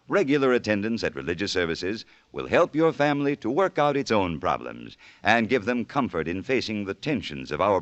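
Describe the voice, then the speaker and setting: A man announcing on 1950s radio in a measured, formal, earnest scripted style. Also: lowish voice, dry recording. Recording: dull vintage radio broadcast.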